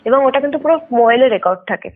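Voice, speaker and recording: High-voiced young woman on a phone line. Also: lively intonation, rapid-fire. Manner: explanatory, mixes in English words, often adds check-in tags. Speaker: caller telling a personal ghost experience. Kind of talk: casual conversational storytelling. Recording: tinny phone call with music underneath. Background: background music.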